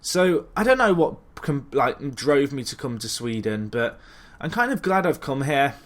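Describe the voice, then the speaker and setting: A man presents a football management Let's Play in a casual, conversational way. He thinks out loud, hesitating and rephrasing as he chats to his viewers. His voice sits at a medium pitch. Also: very animated, moderate pace. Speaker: gaming video host.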